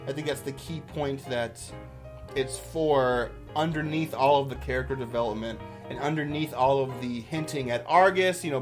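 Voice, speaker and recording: A man giving casual, off-the-cuff commentary as a YouTuber, with an enthusiastic, rambling manner. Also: medium pitch.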